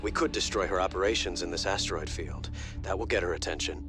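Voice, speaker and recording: A polite composed prince adviser, lowish voice, earnest, game acting over music. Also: male voice, quick pace. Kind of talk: video game character acting. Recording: loud game music behind.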